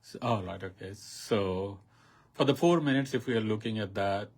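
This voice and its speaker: A man's voice: lowish voice, moderate pace. A man with an Indian accent hosting a radio interview, talking hesitantly with many fillers and frequent laughs. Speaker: radio host.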